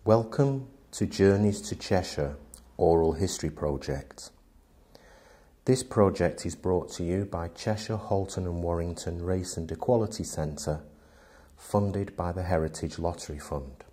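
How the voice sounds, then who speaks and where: Low, mellow male presenter. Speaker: project presenter and interviewer. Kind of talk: scripted introduction.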